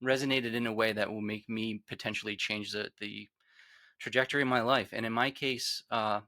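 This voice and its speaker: A man in his forties with a Rhode Island accent speaks in a low, forceful voice. He talks earnestly and reflectively, often letting his phrases trail off quietly. The sound is dry and close. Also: fairly even intonation, normal speed, no room sound. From Rhode Island, USA. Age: 40s. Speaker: podcast guest, author. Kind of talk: candid personal storytelling.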